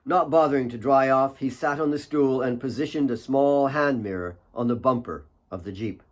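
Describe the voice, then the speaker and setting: A man reading his own fiction as an audiobook, with solemn, deliberate dramatic narration. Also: mid-range voice.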